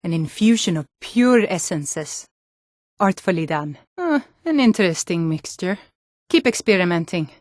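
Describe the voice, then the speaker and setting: An actress playing a hardy Nordic woman of a fantasy realm in a video game, speaking in a firm, earnest, deliberate way. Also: on the low side, expressive, no echo, clean studio sound. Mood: measured, approving. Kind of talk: scripted fantasy video game character dialogue.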